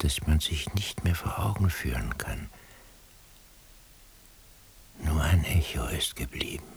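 An actor playing a haunted, pensive man in a radio drama, musing haltingly in a husky, gravelly, hushed voice. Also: very deep voice, slight background noise, exaggerated voice. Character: haunted, pensive man straining after faint impressions. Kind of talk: radio drama interior monologue.

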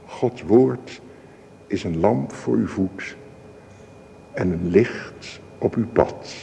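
A man playing a solemn church elder in a radio drama, giving a formal, measured, preachy ceremonial speech. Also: on the low side, vocal fry, mellow, heavily acted. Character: solemn male church elder presenting a wedding Bible. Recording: reverberant hall, hissy old radio recording.

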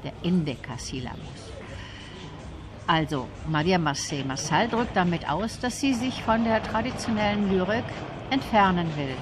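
A woman's voice: lowish voice, moderate pace, noisy background. A woman guest in a radio interview, explaining things in a thoughtful, detailed way. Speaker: interview guest, literary event organiser.

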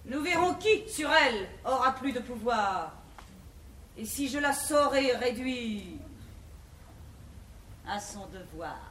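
A woman's voice: on the high side, expressive, slow, pauses often. An actress playing an imperious, haughty learned mother of a bourgeois house, declaiming verse grandly in a classical French stage comedy. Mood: stern. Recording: noisy vintage 1950s theatre recording.

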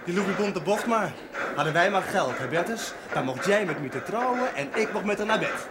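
A man's voice: high voice, animated, normal speed. An actor playing a coarse, mocking workman, brusque and teasing, in a television drama. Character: coarse, mocking coal-yard man teasing a simpleton. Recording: very noisy 1970s television soundtrack.

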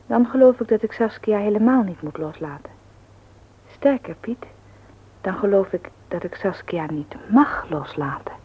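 A woman's voice: mid-range voice, animated, normal speed, husky. An actress playing a composed, independent-minded woman in a radio play, speaking in a firm, matter-of-fact manner. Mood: resolute. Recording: dull old radio recording.

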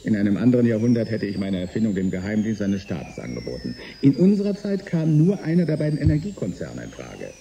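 Bitter middle-aged inventor in a sci-fi radio play, very dark voice, swingy pitch, wry n needling. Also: male voice, very animated, fast talker, vocal fry, few pauses, slight background noise. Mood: dry, sardonic. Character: embittered middle-aged male inventor turned saboteur, sardonic and resigned. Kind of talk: German science fiction radio drama acting.

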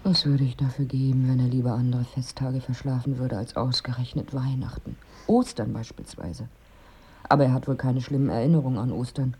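Eine Schauspielerin spielt in einem Hörspiel eine entnervte Ehefrau, die sich vertraulich und trocken beklagt, mit rauchig-hauchiger Stimme. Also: female voice, rumbling low, steady tone, brisk, warm tone, heavily acted. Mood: dryly aggrieved. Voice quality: husky, breathy. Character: exasperated wife fleeing Christmas with her ever-sleeping husband. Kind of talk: radio drama acting.

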